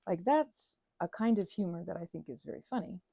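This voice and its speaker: A woman's voice: lowish voice, animated, fairly quick, vocal fry, mellow, no room sound, clean studio sound. A woman in her thirties rambling casually and digressively, with a sarcastic, self-deprecating streak. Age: 30s. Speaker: crafting vlogger and ASMR creator.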